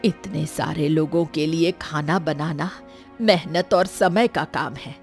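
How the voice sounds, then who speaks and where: A woman's voice: low-pitched, slow, a little mellow. A woman playing a shrewd, caring old village grandmother in a cartoon story, speaking in an assured, coaxing way. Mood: assured, bargaining. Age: older. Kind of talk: scripted cartoon story acting. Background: music.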